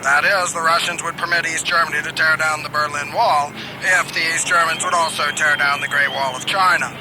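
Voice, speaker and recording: A man playing an earnest, deadpan news correspondent in a satirical radio play. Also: on the high side, brisk, over music, close to an everyday voice. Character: earnest male field correspondent in a spoof news bulletin. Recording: noisy outdoor street ambience with vehicles.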